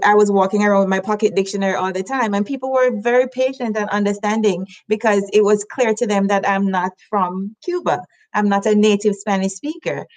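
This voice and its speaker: Woman host in a relaxed interview. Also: mid-range voice. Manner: conversational, reflective, laughs lightly. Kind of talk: interview conversation.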